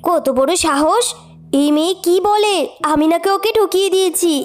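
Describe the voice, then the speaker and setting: A woman's voice: very high voice, normal speed. A woman voicing a greedy, snappish and dismissive shopkeeper woman in a children's cartoon story. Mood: indignant. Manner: haughty, dismissive.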